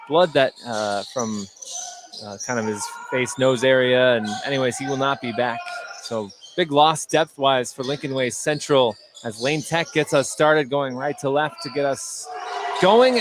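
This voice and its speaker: A man's voice: medium pitch, background noise. A man doing live play-by-play basketball commentary for radio as the announcer.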